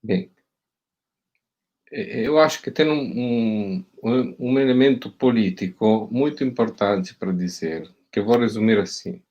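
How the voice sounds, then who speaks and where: Husky Italian-accented man, hesitant. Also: lowish voice, a little slow, darkish tone, dull audio. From Italy. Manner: hesitant, many fillers and restarts. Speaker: guest professor. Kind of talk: academic online talk. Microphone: video call.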